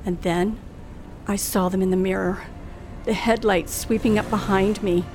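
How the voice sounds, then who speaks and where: A woman's voice: mid-range voice, fairly even intonation, unhurried, a little mellow, faint music behind, natural voice. An actress playing a nervous young woman who tells her own tale of driving alone at night in an old radio thriller. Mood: frightened. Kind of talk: old-time radio thriller acting, first-person narration. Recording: noisy old radio drama recording.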